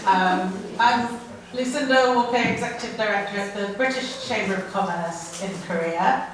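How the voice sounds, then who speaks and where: A woman speaking loudly and confidently as a chamber of commerce director introducing herself on a panel. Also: mid-range voice, normal speed, slight background noise. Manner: loud, self-assured. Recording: echoey hall mic, far off.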